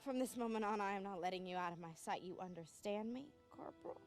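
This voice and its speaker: A woman's voice: mid-range voice, fast talker, faint music behind, natural voice. A woman playing a stern, protective figure in a war game cutscene, firm and insistent. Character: stern, protective woman giving a corporal orders. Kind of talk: video game cutscene acting.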